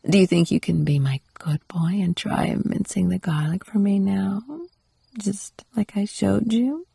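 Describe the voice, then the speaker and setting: A woman playing a possessive, doting yandere wife in an intimate ASMR roleplay, breathy and often slipping into a whisper as she coaxes and instructs. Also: medium pitch, fairly even intonation, unhurried, no echo, heavily acted. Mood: doting, coaxing. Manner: coaxing, doting, softly instructive. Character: possessive, doting yandere wife training her captive husband.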